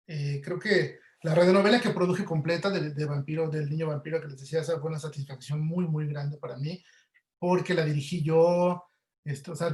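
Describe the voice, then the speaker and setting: A man's voice: a little high, darkish tone. A man with a Mexican accent giving casual answers as an audio producer being interviewed in an online webinar. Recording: roomy, echoey sound.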